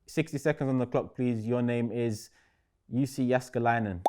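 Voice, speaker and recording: A man's voice: medium pitch, fairly even intonation, fairly quick. A man with a London accent hosting a noisy quiz night among friends, calling scores and time and laughing along. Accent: London English. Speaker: quiz host. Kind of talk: lively game-show hosting with friends. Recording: noisy room full of shouting friends. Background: crowd of chattering friends.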